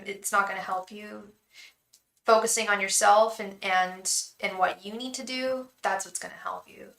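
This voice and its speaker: Bright, chatty woman reading tarot live. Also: medium pitch, echoey room, poor audio. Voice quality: calming voice. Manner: chatty and reassuring, many restarts and "um"s; addresses viewers fondly as "love". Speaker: tarot reader and psychic.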